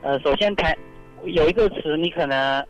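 A man's voice: a little high, moderate pace, faint music behind, rough recording. Hesitant man calling from Shanghai. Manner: hesitant, many fillers, thoughtful. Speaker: caller. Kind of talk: phone-in conversation. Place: outdoors in strong wind.

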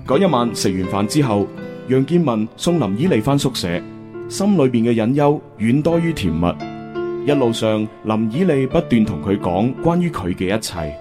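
A male Cantonese radio host with a mid-pitched, very animated voice. He tells the story in an expressive, conversational style over clear background music. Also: normal speed. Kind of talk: radio drama narration and casual commentary.

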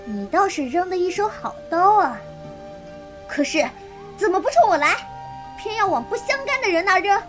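A woman's voice: very high-pitched, sing-song, moderate pace, over music. A woman playing a fiery, naive, petulant young swordswoman in a martial-arts audio drama. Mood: fierce, indignant. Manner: blunt, petulant, impulsive.

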